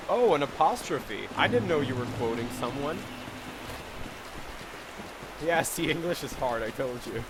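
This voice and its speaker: Young Serbian-accented male streamer, loose and chatty, swears freely; mid-pitched voice, loud noise behind. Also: lively intonation, slow pace, pauses often. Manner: chatty, swears, thanks viewers, reacts to gameplay. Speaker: game streamer. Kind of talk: casual live-stream commentary.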